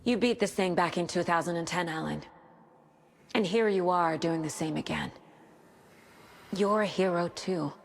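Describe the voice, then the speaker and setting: A woman's voice: lowish voice, moderate pace, creaky, pauses often. A woman playing a steadfast, protective investigator in a video game, speaking firmly and insistently. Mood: resolute. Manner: firm, resolute, insistent. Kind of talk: dramatic cinematic game dialogue.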